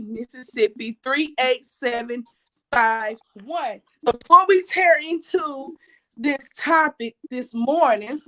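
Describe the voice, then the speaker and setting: Raspy high-voiced woman host. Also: a bit bright, poor audio. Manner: chatty, repeats herself, reads viewer comments aloud. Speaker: livestream host. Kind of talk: casual livestream talk.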